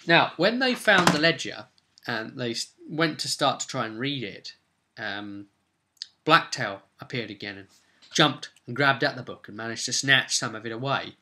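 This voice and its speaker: A man casually recapping his tabletop game as game master, rambling and breaking off into animated asides to viewers. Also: medium pitch, normal speed, slight background noise. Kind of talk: casual video recap, storytelling.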